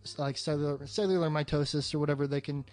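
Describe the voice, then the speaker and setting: A man's voice: on the high side, steady tone, fast talker, darkish tone, faint music behind. A man hosting a podcast in a casual, rambling movie discussion, swearing freely and sounding enthusiastic. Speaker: podcast host.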